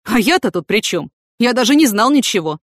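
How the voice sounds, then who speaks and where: A woman's voice: fairly high pitch, lively intonation, a little fast, no echo, studio quality, voice pushed up. A woman voicing a jealous, clumsy male police investigator in an audiobook, complaining and reproachful. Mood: exasperated. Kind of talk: audiobook character acting.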